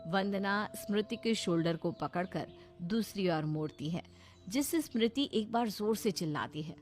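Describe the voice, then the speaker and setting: Woman, scripted story narrator, mid pitch, soft music underneath. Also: animated, moderate pace.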